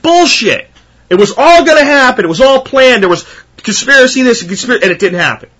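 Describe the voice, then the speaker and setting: A man's voice: extremely high pitch, expressive, fast talker, fairly bright tone. A man in his thirties hosting a solo podcast, talking in an emphatic, ranting way that repeats points for effect. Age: 30s. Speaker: podcast host.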